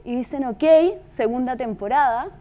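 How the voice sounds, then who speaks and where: A woman with a Chilean accent gives an informal talk as a producer. Her voice sits a bit high, and she tells her story conversationally, repeating words for emphasis. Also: steady tone, moderate pace, warm tone. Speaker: podcast producer giving a talk.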